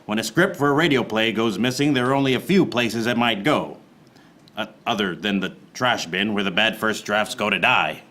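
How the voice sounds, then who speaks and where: An actor playing a wisecracking, hard-boiled private eye, narrating a noir parody radio play in a deadpan, wry way. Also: male voice, fairly low pitch, moderate pace. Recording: noticeable background noise.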